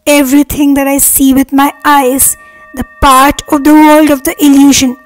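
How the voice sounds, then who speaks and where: High, even-toned woman guiding meditation. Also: low-quality recording. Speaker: meditation guide. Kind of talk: guided meditation affirmations. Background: meditation music.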